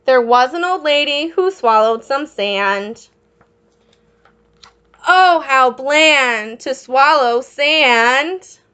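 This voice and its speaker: A woman reading a picture book aloud as a children's teacher, encouraging young listeners with questions and pausing for their answers. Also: high voice, sharp tone, smooth.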